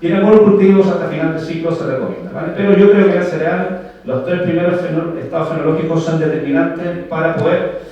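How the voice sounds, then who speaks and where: A Chilean-accented man delivers a technical talk, explaining step by step and throwing questions back at the room. His voice sits a little high for a man and comes from well back from the microphone, washed in the heavy echo of a large hall. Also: fairly even intonation, fast talker, mellow, muffled recording. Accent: Chilean Spanish. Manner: explanatory, frequent "¿vale?" checks, rhetorical questions to the audience. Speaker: agronomy speaker. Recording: very echoey hall, voice heard from far off.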